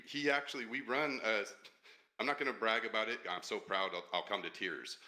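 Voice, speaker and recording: A man's voice: pushed and bright, rough recording. A man, a studio co-founder, talking up his team passionately in casual podcast chat. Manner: passionate, fast-talking pitch.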